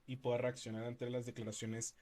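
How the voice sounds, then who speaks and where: A man's voice: medium pitch, monotone, fairly quick, darkish tone. A man hosting a casual political chat, joking and laughing, speaking directly to viewers. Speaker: host.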